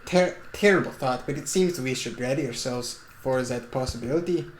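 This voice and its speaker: Woman putting on a grave gentleman, echoey room. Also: extremely low pitch. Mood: grave, restrained. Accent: German. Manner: formal, measured. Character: grave, composed gentleman, formal and controlled. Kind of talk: amateur dramatic reading. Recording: echoey room, faint noise.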